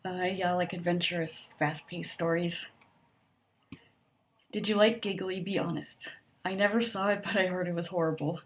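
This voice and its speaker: Soft-spoken woman with a slight stutter. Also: on the low side, steady tone, moderate pace. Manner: hesitant, with frequent ums and likes, laughs softly. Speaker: vlogger answering a survey. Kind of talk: casual chat.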